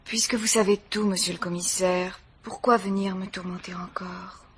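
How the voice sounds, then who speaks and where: An actress playing a grieving, dignified bourgeois mother in a radio mystery, speaking formally in a measured, sorrowful way. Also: fairly low pitch, fairly even intonation, soft background music, close to an everyday voice. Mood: sorrowful, restrained. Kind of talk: French radio mystery drama acting.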